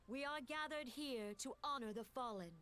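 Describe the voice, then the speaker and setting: A woman voicing a stately female leader of a forest nation, delivering formal, measured oratory to a memorial gathering in a video game. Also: sits high, fairly bright tone, a little hiss or hum. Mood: solemn.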